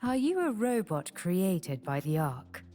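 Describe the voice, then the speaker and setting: A low, curt fighter. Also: female voice, very animated, slow, dark tone, soft background music. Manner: clipped and dismissive.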